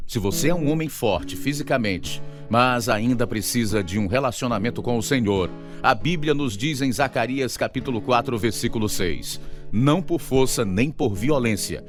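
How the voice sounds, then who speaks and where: A man with a Brazilian accent hosting a radio program, reading a scripted gospel appeal and announcements. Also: medium pitch.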